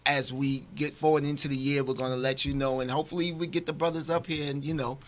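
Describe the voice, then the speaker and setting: A man's voice: mid-range voice, little pitch movement, fast. A man speaking casually and emphatically as an interview guest, repeating phrases for stress. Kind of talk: casual chat. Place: radio studio, indoors.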